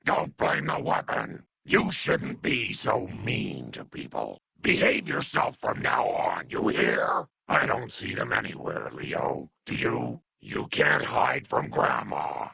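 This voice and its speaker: This is a video game's monstrous old grandmother, acted out by a man, doting and unhinged. The voice is extremely husky and breathy, full of gravel, and it swings wildly up and down in pitch. Sweet cooing pet names sit right beside scolding threats. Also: huge pitch swings, slow, hardly any pauses, sharp tone, dry recording, exaggerated voice. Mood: scolding, playful. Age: older. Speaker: video game companion character. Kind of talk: video game character acting.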